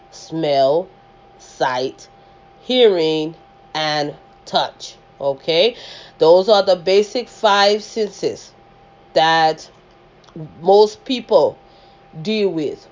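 Woman host with a loud, lower voice, rambling sermon-style podcast, dull lo-fi mic. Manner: rambling with many restarts and fillers. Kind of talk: faith-based podcast talk.

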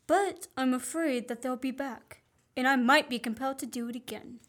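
A woman's voice: high voice, moderate pace, fairly bright tone. A woman acting an earnest young man on the run in a radio play. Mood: urgent, pleading. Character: Richard Hannay, earnest young man, innocent fugitive. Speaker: student actor.

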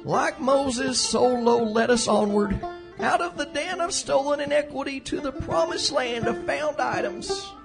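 A man's voice: very high voice, unhurried, creaky, music in the background, full character voice, poor audio. A man playing an earnest, bookish young sidekick who narrates a comic western audio drama with grand drama. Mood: grandly narrating.

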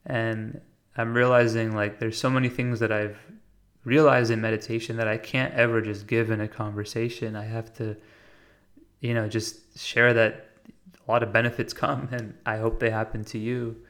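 A man with an American accent talking casually and reflectively as a podcast host, earnest and informal, often pausing to search for words. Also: on the low side, flat delivery, a bit bright. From United States. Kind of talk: casual reflective monologue on meditation.

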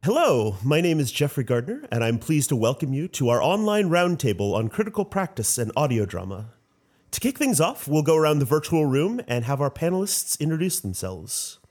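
A man's voice: fairly high pitch, sing-song, fairly quick, a little mellow. A man moderating a discussion in a polite, formal manner, partly reading from prepared text. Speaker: roundtable moderator.

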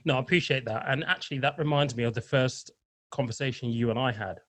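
Husky-voiced podcast host, affirming. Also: medium pitch, fast talker, a bit bright, no echo. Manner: affirming, frequent murmured agreement. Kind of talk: conversational interview.

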